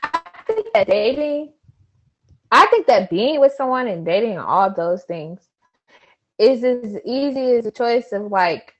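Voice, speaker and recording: Casting: twenties woman guest, easygoing, laughs often, muses out loud. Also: a little high, sing-song, a little slow, lo-fi sound. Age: 20s. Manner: laid-back, laughs often, thinks out loud. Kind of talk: casual chat.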